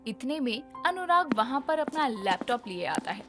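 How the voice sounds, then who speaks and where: A woman narrates a scripted bedtime tale. Her voice is fairly high and very animated in pitch, over clearly audible background music. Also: moderate pace.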